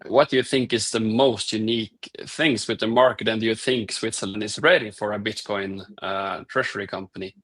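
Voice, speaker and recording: A man's voice: lowish voice, fairly even intonation, moderate pace, hardly any pauses, fairly bright tone. A man moderating a live Q&A, politely passing questions to each guest by name.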